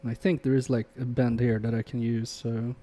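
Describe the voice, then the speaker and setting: A man's voice: medium pitch, little pitch movement, moderate pace, warm tone. A young man whose first language is Polish, chatting casually with a live-stream chat while repairing electronics at his workbench and muttering to himself as he works. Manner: chatty, reads chat aloud, trails off muttering while working. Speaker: livestream repair host.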